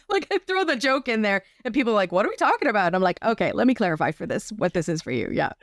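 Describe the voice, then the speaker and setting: A woman's voice: medium pitch, big pitch swings, quick pace, no echo, studio quality. A woman giving a casual, explanatory interview as a guest, often checking in with the listener. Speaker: podcast guest, arts advocate and workshop teacher.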